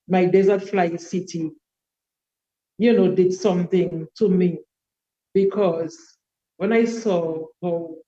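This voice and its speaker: A woman, a podcast guest telling her life story in a reflective, unhurried way. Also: lowish voice, mellow, lo-fi sound. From Dominica. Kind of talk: personal life story in an interview.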